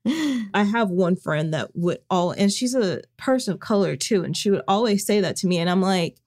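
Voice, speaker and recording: A woman co-hosting a podcast in casual chat, speaking in a monotone, flat way. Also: mid-range voice, moderate pace. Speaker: podcast co-host.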